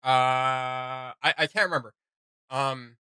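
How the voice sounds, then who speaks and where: A man's voice: medium pitch, steady tone, takes it slowly, sharp tone, clear, resonant tone, dry recording, studio quality. A man hosting a reaction show, giving casual, rambling commentary with a jokey streak.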